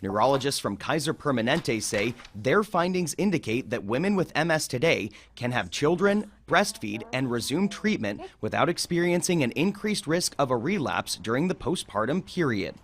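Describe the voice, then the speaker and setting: A man's voice: mid-range voice, very animated, moderate pace, hardly any pauses. An American man reading a scripted news report as a correspondent.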